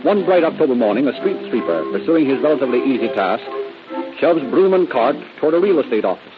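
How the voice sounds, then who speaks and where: A man's voice: mid-range voice, sing-song, fast talker. A man with an American accent narrating a 1930s radio drama in a formal, dramatic announcer's style. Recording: tinny old radio broadcast. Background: music.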